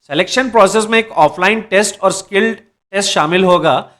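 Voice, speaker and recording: High-voiced man presenting job news. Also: moderate pace, fairly bright tone. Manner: addresses viewers as "दर्शकों", occasional restarts. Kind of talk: explainer reading job details.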